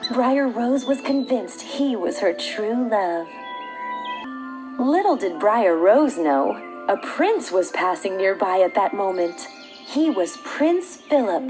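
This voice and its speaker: Lively, higher-voiced woman storyteller over music. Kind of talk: scripted fairy tale narration for children. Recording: background music.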